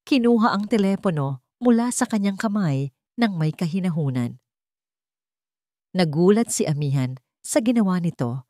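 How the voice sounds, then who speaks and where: A woman's voice: mid-range voice, a little mellow, dry recording, studio quality. A woman narrator reading a scripted, dramatic love story.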